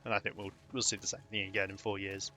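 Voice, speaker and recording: A man's voice: fairly low pitch, flat delivery, fast, pushed and bright, soft background music. A young British man chatting casually while streaming a game, rambling and chuckling between remarks. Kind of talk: live gaming commentary.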